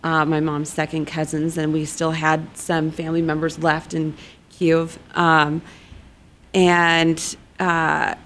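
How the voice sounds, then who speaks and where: Woman guest, low-ish voice, halting and sincere, faint noise behind. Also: completely flat delivery, a bit bright. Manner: earnest, halting, many fillers. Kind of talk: interview answers.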